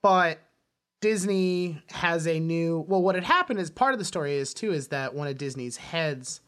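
Male podcast co-host, bright tone, informal movie talk, joking storyteller. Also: a little high, lively intonation, normal speed. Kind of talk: casual movie chat.

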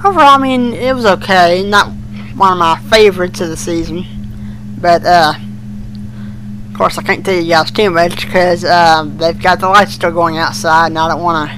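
Guy w/ a high voice chatting on a fan podcast, low key and folksy, slight hum behind. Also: fairly even intonation, moderate pace. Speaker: podcast co-host. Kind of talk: casual fan podcast chat. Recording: amateur podcast with humming noise.

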